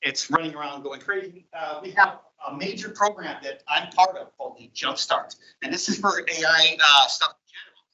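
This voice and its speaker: A man's voice: a little high, moderate pace, bright, forceful tone. A middle-aged man co-hosting a meetup, talking informally to a group in a chatty, rambling, folksy way on a dull, muffled recording. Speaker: meetup co-host and presenter.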